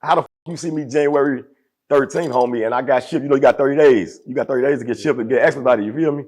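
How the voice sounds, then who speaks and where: A man with a deep voice speaking as an interview guest in casual, aggressive street slang. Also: quick pace, darkish tone.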